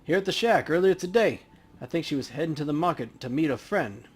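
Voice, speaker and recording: A man's voice: fairly high pitch, expressive, quick pace, slightly rough. A man voicing a grieving, talkative orc convenience store worker in a video game. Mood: weary, talkative.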